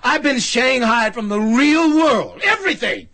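An actor playing a flustered, incredulous artist abducted by a space traveler in a radio drama, with a husky voice. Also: male voice, very high-pitched, lively intonation, unhurried, a bit bright, exaggerated voice. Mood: indignant outburst. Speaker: lead actor and first-person narrator.

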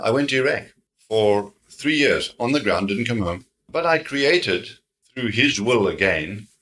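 Hombre sudafricano de voz grave y brillante. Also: male voice, on the low side, a bit bright, rough recording. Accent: South African. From South Africa. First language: Afrikaans. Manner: earnest, repeats words while telling a faith story. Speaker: guest, security company founder. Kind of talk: storytelling in an interview.

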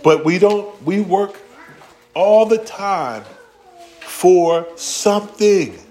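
A man's voice: sits high, animated, extremely slow, a little mellow. A man preaching a casual, slangy sermon in a church, acting out quotes and talking directly to the congregation. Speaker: pastor. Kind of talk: conversational sermon.

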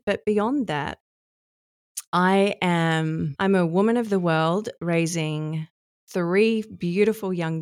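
A middle-aged woman with a South Australian accent, chatting as a podcast guest in a warm, reflective way and laughing easily. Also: low voice, dry recording, clean studio sound. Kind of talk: casual interview chat.

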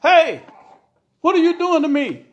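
Casting: woman playing a bullying husband in an oral story, high-pitched hollering and whining, very animated. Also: measured pace, lo-fi sound, heavily acted. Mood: alarmed, protesting. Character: bullying abusive husband, tied up, pleading in pain.

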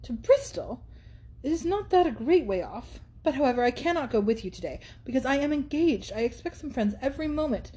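A woman's voice: high-pitched, expressive, a little fast, warm tone, pitched above the performer's own voice. Woman voicing an anxious young heroine. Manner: earnest, anxious questioning. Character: eager young heroine, hesitant and polite.

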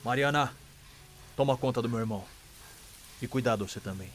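A man's voice: medium pitch, fairly quick, a little hiss or hum, close to an everyday voice. An actor playing a resolute, protective enslaved young man in a radio drama, with a Brazilian accent and rustic, colloquial speech. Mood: tender, solemn.

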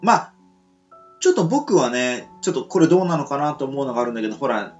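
A man's voice: mid-range voice, fast talker. Hesitant male radio host, lo-fi sound. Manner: hesitant, lots of fillers and steady back-channel nods. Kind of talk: casual radio talk. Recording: lo-fi with guitar music behind.

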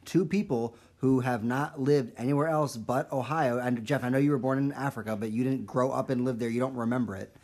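A man's voice: medium pitch, fairly even intonation, brisk, hardly any pauses, darkish tone. A man in his thirties co-hosting a casual podcast, who talks over the others, repeats "listen" to take the floor and swears as he makes his point. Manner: insistent, keeps cutting in with repeated "listen", swears. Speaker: podcast co-host. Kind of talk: casual group debate.

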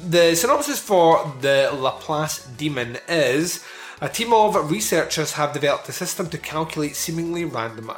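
A man talks through a film review in a loose, rambling way, with frequent fillers and the occasional curse. His voice sits in a roomy space with a clear echo. Faint music plays underneath. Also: a little high. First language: not Italian. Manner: rambling, with many fillers and swearing. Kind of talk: casual film review talk.

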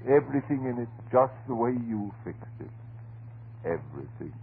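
An actor playing a frantic, devoted, unhinged husband in a vintage horror radio drama, melodramatic, pleading and halting. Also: male voice, medium pitch, sing-song. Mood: despairing. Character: frantic, devoted, unhinged husband guarding his transformed beloved. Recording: muffled, noisy old radio recording.

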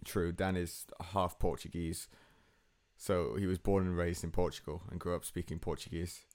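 A man's voice: low-pitched, fairly even intonation, moderate pace, darkish tone. A young man hosting a podcast, rambling and self-mocking, laughing often in casual chat. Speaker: podcast host.

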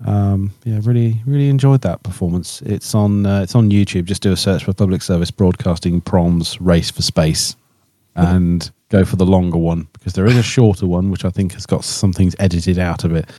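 Man, low pitch, dark mellow tone, hesitant delivery, repeated words, casual podcast chat. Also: steady tone, no room sound, studio quality. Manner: hesitant, halting, often repeating himself. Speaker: podcast co-host.

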